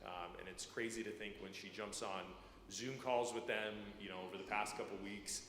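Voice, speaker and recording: Halting low-voiced man in echoey church. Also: steady tone, a bit bright. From Ukraine. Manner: halting, many fillers and repeated words. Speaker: guest speaker. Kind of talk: personal testimony to a church congregation. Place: church sanctuary. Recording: echoey church hall, faint room noise.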